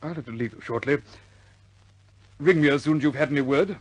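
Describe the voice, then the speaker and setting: An actor playing a concerned senior air force commander in a radio war drama, reserved and halting but quietly authoritative. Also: male voice, fairly high pitch, husky, no room sound. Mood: thoughtful. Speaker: commanding officer.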